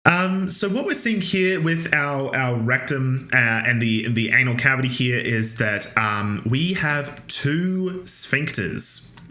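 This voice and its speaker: A man's voice: medium pitch, slow, bright, forceful tone. Chatty male lecturer in an echoey room. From Australia. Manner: chatty, filler-heavy, joking asides. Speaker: university lecturer. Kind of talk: recorded anatomy lecture.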